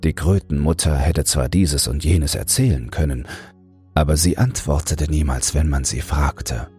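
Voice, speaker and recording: A man narrating a fairy tale as a bedtime audiobook, in a calm, measured storytelling manner. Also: a bass voice, soft background music. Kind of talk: scripted fairy-tale narration for falling asleep.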